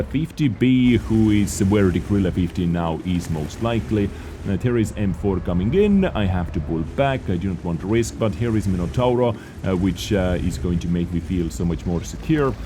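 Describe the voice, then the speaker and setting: A man's voice: fairly low pitch, warm tone, slight background noise. A man who speaks English as a second language, giving an analytical, conversational voice-over commentary on his own gameplay. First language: not English. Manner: analytical, conversational, plain-spoken. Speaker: gaming channel host.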